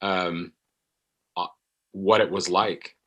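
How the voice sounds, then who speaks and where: A middle-aged man, an actor being interviewed, speaks in a halting, thoughtful way and often repeats his phrases. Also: deep voice, steady tone, a bit bright. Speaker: actor guest. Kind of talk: reflective interview conversation.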